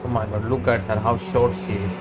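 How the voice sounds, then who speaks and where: A woman with an extremely low voice reacts to a game on a livestream. Her commentary is casual, informal and offhand, with loud game music playing behind her. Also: flat delivery, a little fast, low-quality recording.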